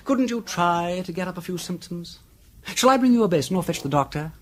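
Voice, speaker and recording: An actor playing a flippant, witty English aristocrat detective in a radio drama, urbane and drawling, teasing with mock politeness. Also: male voice, high voice, lively intonation, fairly quick. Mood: mocking, coolly accusing. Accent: upper-class English.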